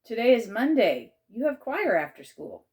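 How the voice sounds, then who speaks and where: A woman reading a children's story, voicing a caring aunt who gently prods a child. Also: sits high, sing-song, a little slow, voice pushed up, full character voice. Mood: rousing. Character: gentle, prodding aunt looking after a child.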